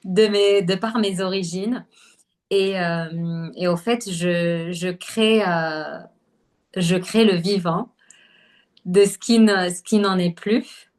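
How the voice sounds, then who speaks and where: Hesitant, low-voiced woman from Algeria. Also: steady tone, slow, a little mellow. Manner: hesitant, many fillers and restarts. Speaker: podcast guest, holistic nutrition coach. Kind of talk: casual conversational interview.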